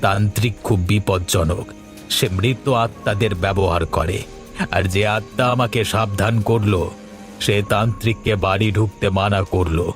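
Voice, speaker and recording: A man's voice: on the low side, steady tone, moderate pace, lightly acted. A man voicing a worried, earnest villager who explains things in a horror cartoon, with music behind him.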